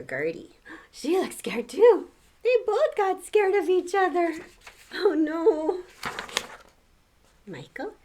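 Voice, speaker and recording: Older woman reading aloud, slow and expressive. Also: medium pitch, slightly rough. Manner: exclaims and chats about the pictures, asks questions. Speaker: grandmother reading a picture book to children. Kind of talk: expressive read-aloud storytelling with asides to young listeners.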